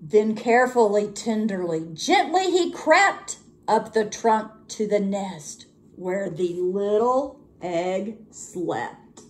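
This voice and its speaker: A woman reading a children's storybook aloud as a teacher would, with expressive, deliberate and dramatic phrasing. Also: mid-range voice.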